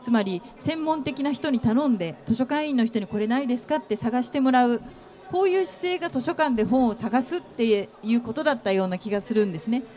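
A middle-aged woman interviewing a guest on an expo stage, asking long, gentle questions full of fillers into a handheld mic. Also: fairly high pitch, lively intonation, brisk. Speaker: interviewer, company executive.

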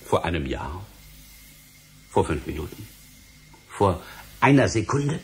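An actor playing a stern, exasperated government official in a radio play, lecturing in an emphatic, theatrical, rhetorical way. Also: male voice, low-pitched, very animated, takes it slowly, breathy edge, full character voice. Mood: grave, triumphant. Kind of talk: German radio play acting.

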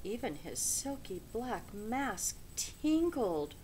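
A middle-aged or older woman reading a children's story aloud in an expressive, deliberate way. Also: fairly high pitch, slight background noise. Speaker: storybook reader. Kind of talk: children's read-aloud narration. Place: small indoor room with bookshelf.

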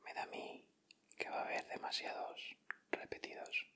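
A man with a Castilian Spanish accent doing gentle, informal ASMR commentary as he hosts an unboxing. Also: normal speed. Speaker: ASMR video host.

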